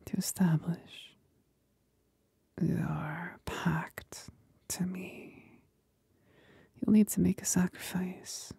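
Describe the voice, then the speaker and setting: A woman's voice: fairly low pitch, fairly even intonation, drawn-out words, long pauses, warm tone, no echo, exaggerated voice. A woman voicing a possessive demon companion in a whispered ASMR roleplay, seductive and commanding, soothing yet domineering. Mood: coaxing, commanding. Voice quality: breathy whisper.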